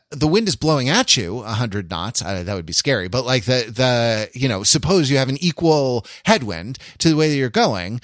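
A man hosting a casual discussion podcast, explaining things at length in a halting, backtracking, wry way. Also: mid-range voice, a bit bright, dry recording, clean studio sound. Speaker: podcast host.

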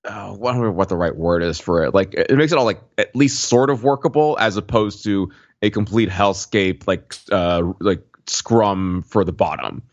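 Deep-voiced man, slightly muffled. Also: no room sound. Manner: loose, searching for words, quick asides. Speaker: podcast co-host. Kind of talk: casual chat.

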